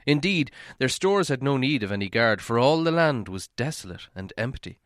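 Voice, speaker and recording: A man narrates a storybook in a measured, dramatic way. His voice sits at a medium pitch and is smooth and resonant. The recording is dry and close. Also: very animated, a bit bright, no room sound. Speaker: audiobook reader.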